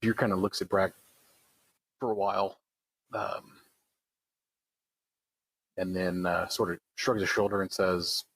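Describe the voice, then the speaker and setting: Low husky man, casual gamer. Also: steady tone, unhurried, pauses often, a bit bright, dry recording, poor audio. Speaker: player in a tabletop role-playing game. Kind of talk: casual improvised game narration.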